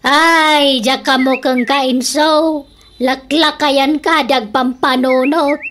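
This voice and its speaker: High-pitched, nagging older sister played by a woman. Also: fairly even intonation, takes it slowly, fairly bright tone, a little hiss or hum. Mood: scolding. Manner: scolding, questioning. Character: nagging, suspicious older sister who scolds her brother. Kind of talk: comedic Ilocano radio drama acting.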